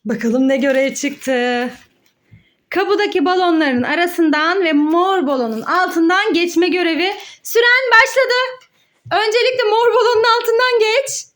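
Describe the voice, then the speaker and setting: Very high-pitched, excitable woman. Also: unhurried. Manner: excited, encouraging, sing-song with repeated words. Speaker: mother hosting a children's play challenge video. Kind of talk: lively play-along commentary to child and viewers.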